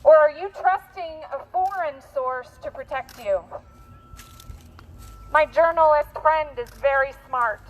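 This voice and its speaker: An American woman activist giving a deliberate protest speech through a bullhorn, posing pointed questions to an official. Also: high voice, dull audio. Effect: bullhorn amplification. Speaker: protest activist speaking to the governor. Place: outdoors on a residential street. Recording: noisy outdoor street recording.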